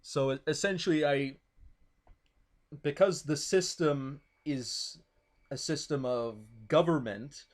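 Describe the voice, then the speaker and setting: A man's voice: on the high side, takes it slowly. Man, non-native english speaker, thoughtful, stops and restarts a lot, explaining ideas. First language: not English. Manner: thoughtful, many restarts and fillers. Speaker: guest explaining his political views. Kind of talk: casual discussion.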